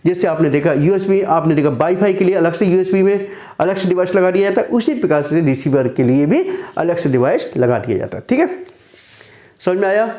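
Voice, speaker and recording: A man with a high, hoarse voice gives a computer lesson in a room with lots of echo. His pitch rises and falls a great deal as he reads out definitions slowly. Then he quizzes his students and answers the questions himself. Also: animated, dark tone. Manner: asks students questions and answers them himself, addresses them as "beta"; reads English definitions slowly, then explains them in Hindi. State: dry, hoarse throat. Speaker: teacher. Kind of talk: classroom lecture for exam students.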